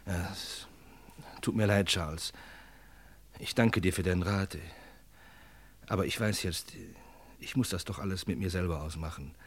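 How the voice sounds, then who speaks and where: A man's voice: low voice, slightly rough. An actor playing a hesitant, conscience-torn man in a German radio crime drama. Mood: troubled, subdued. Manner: hesitant, wavering, halting.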